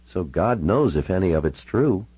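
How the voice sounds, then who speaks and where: A man's voice: sits low, expressive, moderate pace, mellow, breathy edge, no echo, exaggerated voice. A man voicing a troubled, sardonic, hard-drinking woman with a wry, frank and informal way of talking, in audiobook dialogue. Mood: matter-of-fact.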